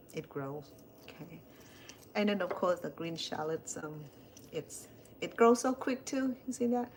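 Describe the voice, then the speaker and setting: A woman in her fifties from Cambodia, speaking English with a Cambodian accent, chatting casually at home about her plants and her life, often repeating words as she goes. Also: sits low. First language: Khmer. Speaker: chef and home cook. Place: indoors at home. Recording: faint background hiss.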